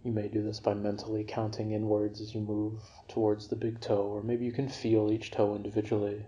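A man guiding a yoga nidra relaxation for sleep in a gentle, unhurried, soothing manner. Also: fairly low pitch, monotone, dull audio. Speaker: meditation guide.

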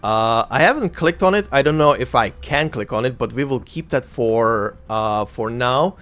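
A man in his thirties whose first language is Croatian hosts a video game let's play, talking loosely with plenty of fillers and restarts. His voice sits at a middling pitch. Faint game music plays quietly behind him. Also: lively intonation, normal speed. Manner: chatty, rambling, self-correcting with many fillers. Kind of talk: casual gameplay commentary.

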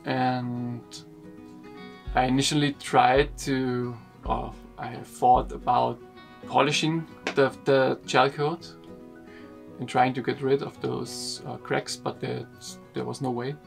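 A young man sits in a wooden boat cabin and explains a refit job to a camera. He talks very slowly, stopping and repeating words as he searches for them. His voice has a rough, gravelly grain, and a little guitar music plays softly behind him. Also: medium pitch, little pitch movement. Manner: slow, halting, repeats words while explaining. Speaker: sailing vlogger.

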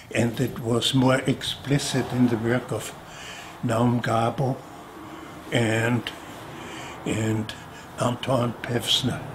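An older man from Austria, a sculptor answering interview questions in a slow, deliberate way with pauses and restarts, seated indoors. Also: mid-range voice, noisy background. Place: indoor studio room.

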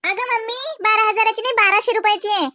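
A woman's voice: extremely high pitch, fairly quick, pushed and bright, dry recording, studio quality. A woman voicing an outspoken, eager little girl in a comedy cartoon, blunt and cheeky in manner. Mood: blunt, impatient.

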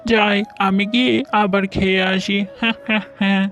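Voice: mid-range voice, flat delivery, a little fast, a bit bright, natural voice. A performer voicing a sly, greedy, hungry fox thief who schemes to himself in a children's cartoon story, with music behind. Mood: gleeful, greedy. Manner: gleeful, scheming, talking to himself.

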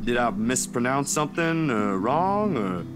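A man's voice: mid-range voice, big pitch swings, a little slow. An actor playing a polite, nervous young photographer in a visual novel, hesitant and apologetic with his superiors. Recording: music behind the voice.

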